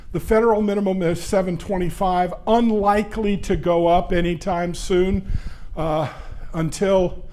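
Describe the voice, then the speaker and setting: A man in his sixties presenting a legal seminar at a conference, talking loudly and quickly with frequent "uh" fillers. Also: fairly high pitch. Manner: loud, brisk, conversational lecturer with frequent "uh" fillers. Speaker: labor law attorney presenting a seminar. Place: conference session room. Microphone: handheld or stand microphone.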